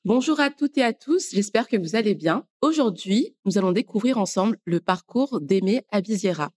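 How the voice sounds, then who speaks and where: A woman hosting a podcast, upbeat and clear as she introduces and asks questions. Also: mid-range voice, expressive, moderate pace, a little mellow, soft background music. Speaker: podcast host. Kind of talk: scripted intro then interview questions.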